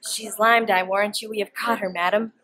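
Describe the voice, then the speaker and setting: A teenage girl playing a deferential gentlewoman attendant who joins in a plot, in a student Shakespeare performance. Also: mid-range voice, steady tone, brisk, husky, rough recording. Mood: conspiratorial. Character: Ursula, young gentlewoman attendant, deferential co-conspirator.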